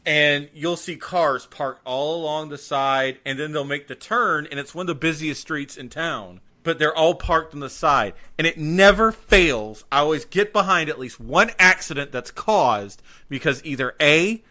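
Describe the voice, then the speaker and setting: Bright-voiced joking male podcast co-host. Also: on the high side, lively intonation, measured pace, hardly any pauses. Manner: joking, rambling, grumbling rants. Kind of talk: casual chat.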